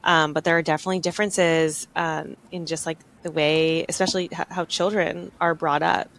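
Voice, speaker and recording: A woman's voice: low voice, level tone, moderate pace, gravelly. Chatty young woman podcast host. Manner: warm, chatty, frequent "like" and "um". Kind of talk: interview conversation.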